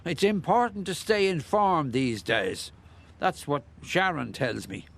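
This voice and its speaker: An actor playing a chatty, nosy older Irish ex-bricklayer who rambles and muses in a radio play. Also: male voice, on the high side, very animated, a little slow, gravelly, fairly bright tone, heavily acted. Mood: chatty, musing. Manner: garrulous, rambling, musing, with hesitations.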